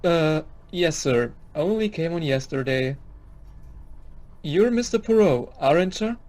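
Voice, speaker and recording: A man's voice: fairly high pitch, animated, measured pace, darkish tone. A man playing a polite, deferential new elevator operator in an audio play. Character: polite newly hired male elevator operator.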